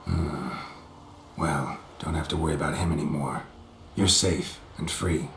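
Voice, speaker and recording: A man playing a gruff, weary, stoic monster hunter in a video game, speaking in laconic, deadpan phrases with a gravelly growl. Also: extremely low pitch, measured pace, reverberant, slight background noise. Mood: steady, reassuring.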